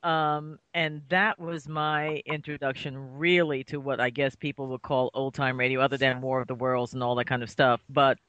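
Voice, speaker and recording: A man's voice: mid-range voice, little pitch movement, normal speed, few pauses, bright, forceful tone, smooth, dry recording. A man from New York chatting casually as a panel participant, in a rambling, enthusiastic way. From New York, United States. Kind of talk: casual chat. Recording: dry but slightly dull, lo-fi.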